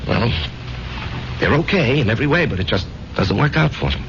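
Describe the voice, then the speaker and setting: An actor playing a weary, guilt-ridden married war veteran in a 1970s radio drama, speaking in a halting, earnest way. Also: male voice, mid-range voice, animated, noisy background, close to an everyday voice. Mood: hesitant, ashamed. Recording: dull vintage radio recording, music behind.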